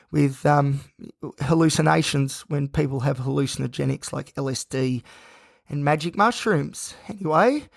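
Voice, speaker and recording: A man hosting a solo podcast, talking in a rambling, tired, self-reflective way with a croaky, hoarse voice. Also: a little high, no room sound, clean studio sound. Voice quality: gravelly. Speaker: podcast host.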